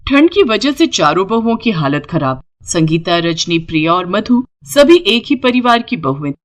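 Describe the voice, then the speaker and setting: A woman's voice: medium pitch, very animated, normal speed. A woman narrating a scripted moral story as the storyteller.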